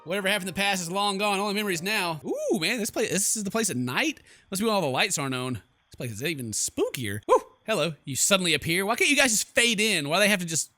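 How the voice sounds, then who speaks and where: A man's voice: high-pitched, big pitch swings, fast talker, sharp tone, faint music behind. A man hosting a let's play, reading game text aloud with informal, playful, exclamatory asides. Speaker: gaming video host. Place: indoors at home.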